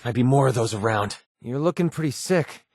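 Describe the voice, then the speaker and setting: A man's voice: medium pitch, expressive, brisk, a little mellow, no room sound, clean studio sound, lightly acted. A man voicing a cocky, sardonic young mercenary gunman in clipped video game companion lines. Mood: wry, casual.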